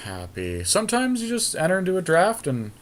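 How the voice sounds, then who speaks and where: A man's voice: high-pitched, huge pitch swings, brisk. A man co-hosting a podcast, chatting casually and jokingly through a game with wry, self-deprecating humor. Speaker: podcast co-host. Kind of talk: casual banter over a live card game draft.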